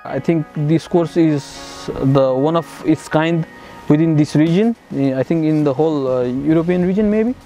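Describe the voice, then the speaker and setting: A young man from Nepal with a slightly higher voice talks through his student life in a relaxed, halting way, leaning on lots of "uh"s. He is recorded close on a lapel mic while light music plays underneath. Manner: hesitant, many "uh" fillers, soft chuckles. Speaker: master's student. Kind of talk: casual testimonial interview. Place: outdoors on a city riverside path and in a bright building.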